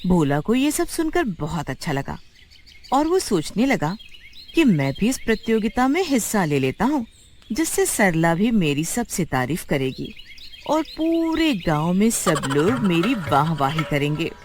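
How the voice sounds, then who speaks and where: A woman's voice: on the low side, sing-song, a little slow, darkish tone, a little hiss or hum. A woman giving scripted narration as the storyteller of a moral tale.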